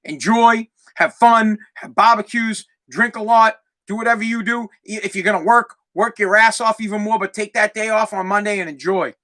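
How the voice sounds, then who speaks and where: A brash young man with a Boston accent, his voice high for a man and piercingly bright. He rants hard into a desk mic, swearing freely as he hosts his solo podcast. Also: normal speed, few pauses. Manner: loud, profane, ranting. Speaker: podcast host.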